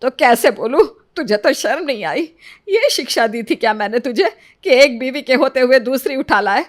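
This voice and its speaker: A woman's voice: on the high side, expressive, normal speed, lightly acted. A woman doing a furious scolding mother-in-law. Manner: harsh, scolding, loud.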